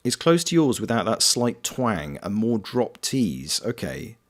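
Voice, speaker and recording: A man's voice: on the low side, very animated, measured pace. A British man streaming a game, chatting casually and reading viewers' comments aloud, greeting them by name. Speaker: streamer and voice actor.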